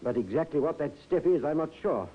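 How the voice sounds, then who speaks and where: Animated, husky gentleman, radio drama. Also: on the high side, a little fast. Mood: alert, decisive. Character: amiable, brisk, slightly blustery English gentleman. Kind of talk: old-time radio drama acting. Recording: muffled old radio recording.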